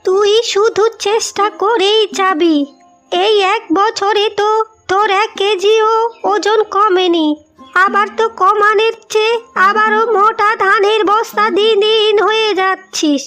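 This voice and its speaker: Cartoon mother-in-law, older village nag: extremely high voice, bitter and complaining, music underneath. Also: flat delivery, a little slow, a bit bright. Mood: angry scolding. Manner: nagging, insulting, complaining. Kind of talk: Bengali cartoon moral story acting. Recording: music behind the voice.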